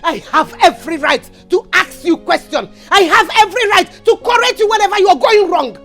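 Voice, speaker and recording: A woman's voice: very high-pitched, expressive, fairly quick, a bit bright, soft background music. A fierce protective mother. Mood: defiant. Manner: pleading, emphatic confrontation. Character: protective mother defending her daughter. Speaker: actor.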